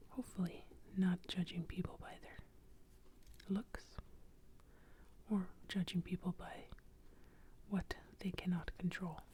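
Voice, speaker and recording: A woman telling an improvised bedtime story in a soft ASMR whisper. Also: on the low side, slight background noise.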